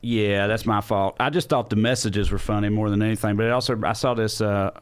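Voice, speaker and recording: A man's voice: on the low side, fairly even intonation, fairly quick, smooth. A man in casual comedic podcast chat, telling things in an informal, rambling, profane way. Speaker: podcast host.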